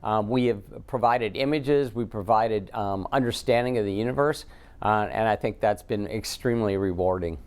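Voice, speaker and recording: A man with an American accent answering interview questions as an astronaut guest, halting often with ums as he thinks. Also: on the low side, clear, resonant tone.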